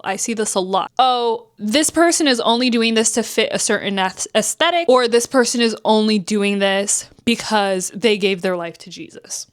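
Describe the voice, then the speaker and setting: A woman in her twenties from New Jersey talking candidly to camera in a casual vlog. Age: 20s. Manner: chatty, candid, acts out quotes with pitch swings. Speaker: vlogger.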